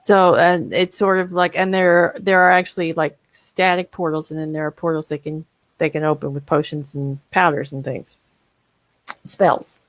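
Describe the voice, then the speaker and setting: A woman's voice: low voice, fairly even intonation, moderate pace, no echo, poor audio. A woman moderating an online panel over a video call, talking casually with many fillers and restarts.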